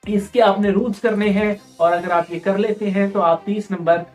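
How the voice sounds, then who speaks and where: A woman's voice: medium pitch, fairly even intonation, moderate pace, a little mellow, faint music behind. A woman with a Pakistani accent giving instructional exam-preparation talk as a tutor, explaining and repeating key points with English terms mixed in.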